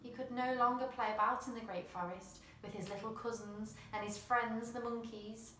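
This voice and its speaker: A woman's voice: fairly high pitch, steady tone, moderate pace, a bit bright, slight background noise. A woman, reading a children's picture book expressively in a small room. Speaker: storyteller. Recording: roomy, slightly distant mic.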